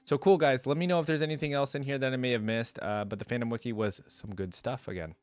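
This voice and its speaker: A man hosting a reaction video, casual and informal, reading aloud and thinking out loud with asides. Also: medium pitch, steady tone, fast, smooth, no room sound.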